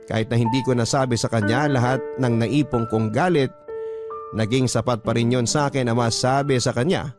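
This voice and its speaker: A man hosting a radio drama, reading a listener's letter aloud as scripted dramatic narration. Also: mid-range voice. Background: background music.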